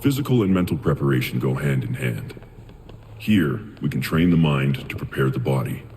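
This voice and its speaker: An actor playing a stern, calm martial mentor in a video game, giving commanding, measured instruction. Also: male voice, extremely low pitch. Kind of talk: video game cinematic acting. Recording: long hall reverb, faint noise.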